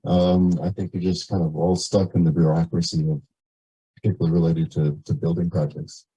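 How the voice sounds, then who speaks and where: A man's voice: deep voice, little pitch movement, a little fast, dark tone, husky, low-quality recording. A man resident making an informal, hesitant comment at a community meeting.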